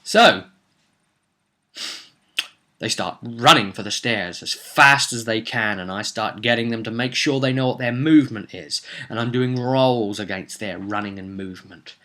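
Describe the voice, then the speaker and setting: A man's voice: lowish voice, unhurried, pushed and bright. A man recapping a tabletop roleplay session as game master, telling it theatrically with casual asides.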